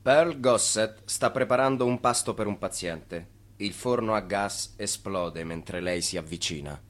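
A fairly low male voice narrating a radio play. He delivers scripted scene-setting as the storyteller of the piece. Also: unhurried, fairly bright tone. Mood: matter-of-fact.

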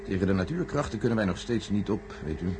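A man playing a measured, secretive senior official in a science-fiction radio play, formal, deliberate and condescending. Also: on the low side, expressive, close to an everyday voice. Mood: grave, defensive. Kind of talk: radio drama acting. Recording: noisy old radio recording with hum.

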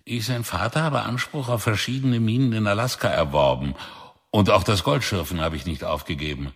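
A weathered father who roams the country in a trailer, with a husky, very low voice. He speaks slowly and wearily, proud of his own hard life, and turns harsh when provoked. A radio drama performance. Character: old drifter father, gruff and proud.

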